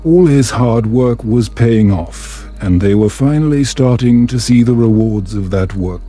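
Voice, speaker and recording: A man reading a scripted bedtime story as a narrator, in a calm, measured, soothing way. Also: medium pitch, soft background music.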